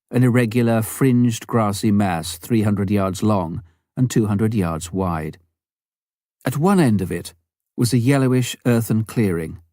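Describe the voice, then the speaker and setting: Male narrator, lower pitch, scripted memoir reading, measured storytelling, careful phrasing, dry studio-like sound. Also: warm tone, no room sound. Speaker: audiobook narrator.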